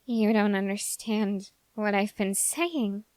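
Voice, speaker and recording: A woman playing an obsessive young male soldier fascinated by demons in an ASMR audio roleplay, with intense, halting, formally worded delivery straight to the listener. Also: mid-range voice, darkish tone, no room sound, natural voice. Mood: insistent, reverent.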